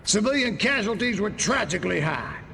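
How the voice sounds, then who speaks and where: An actor playing a hot-tempered, pompous older American army general in a video game, in a barking, indignant manner. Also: male voice, sits high, sing-song, normal speed, gravelly, bright, forceful tone, full character voice. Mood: grave, pompous. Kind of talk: theatrical video game character acting. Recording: long hall reverb, faint noise.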